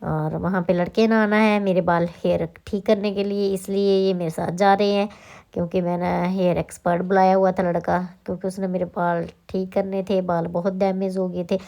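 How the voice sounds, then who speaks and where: A woman's voice: mid-range voice, level tone, normal speed, mellow. A woman who runs a salon, talking casually through her day with a slightly sore throat, chatty and rambling. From Himachal Pradesh, India. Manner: chatty, rambling, self-correcting. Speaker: salon owner and vlogger. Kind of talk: casual vlog narration.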